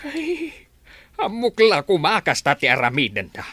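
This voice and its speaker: An exasperated old village farmer acted by a man in a radio comedy drama. His voice is husky and close, swinging up and down as he sighs and complains. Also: sits high, huge pitch swings, normal speed, sharp tone, dry recording, heavily acted. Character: exasperated old village farmer father, worried over mortgaged field.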